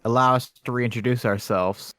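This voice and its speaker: A man's voice: medium pitch, fairly even intonation, normal speed, a bit bright, dry recording, studio quality. A man co-hosting a podcast, laid-back in casual chat with brief replies. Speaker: podcast co-host.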